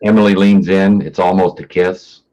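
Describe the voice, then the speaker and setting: A man's voice: sits low, steady tone, moderate pace, mellow, lo-fi sound. A man reading stage directions as scripted narration for a staged play reading.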